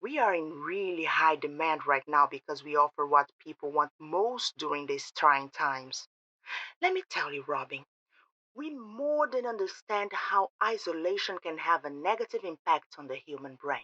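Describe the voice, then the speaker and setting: Woman acting gushing receptionist, animated, dull phone sound. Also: sits low, normal speed, no room sound, exaggerated voice. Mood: gushing, persuasive. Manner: effusive, sales-like, syrupy familiarity. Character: gushing, saccharine woman receptionist for a secretive organization. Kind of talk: audio drama acting.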